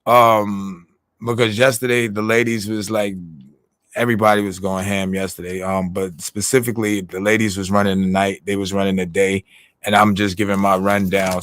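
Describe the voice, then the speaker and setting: A man's voice: lowish voice, a bit bright, clear, resonant tone, no echo, clean studio sound. A man giving a casual, rambling recap on a livestream, full of fillers, restarts and swearing. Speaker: livestream commentator.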